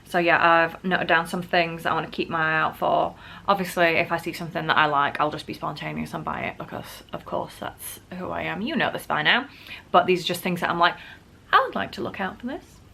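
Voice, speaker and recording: A woman's voice: fairly low pitch, a little fast, vocal fry, sharp tone, slight background noise. A woman vlogger, sick and wheezy with a bad cough, chatting casually to camera and poking fun at herself. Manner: chatty, self-mocking, many "like" fillers.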